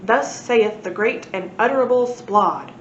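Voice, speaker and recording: A woman's voice: lively intonation, roomy sound, muffled recording, a little hiss or hum, natural voice. A woman voicing a mighty, pompous male volcano god in a storybook, proclaiming grandly. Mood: solemn, pompous. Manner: slow, booming, grandiose proclaiming.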